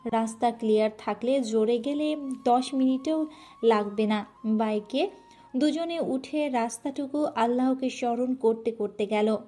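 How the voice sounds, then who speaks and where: A woman's voice: high voice, a little mellow. A woman narrating a romantic family story in an emotional, earnest style.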